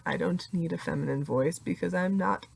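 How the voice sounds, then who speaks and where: A young adult woman with a deeper voice talking casually in a confessional vlog, full of "like" fillers and laughing often. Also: a little fast, creaky, husky, rough recording. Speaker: vlogger.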